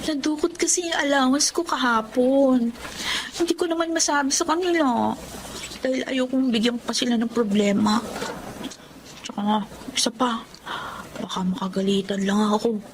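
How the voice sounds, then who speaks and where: A woman's voice: sits high, normal speed, slight background noise, poor audio. A woman playing a sly, manipulative teenage schoolgirl who coaxes her way along in a Tagalog radio drama. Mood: feigned sadness.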